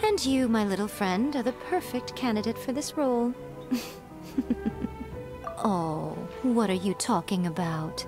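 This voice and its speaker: A woman's voice: mid-range voice, lively intonation, measured pace, pauses often, over music, close to an everyday voice. A woman playing an elegant, teasing fox shrine maiden in a video game, composed, playful and a little condescending. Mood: smug, amused.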